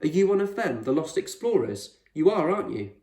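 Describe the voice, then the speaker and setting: A man's voice: a little high, big pitch swings, brisk, dark tone, pitched above the performer's own voice. A man voicing an anxious, stubborn young boy in a storybook, urgent, questioning and argumentative. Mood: insistent, excited. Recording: roomy echo, distant microphone.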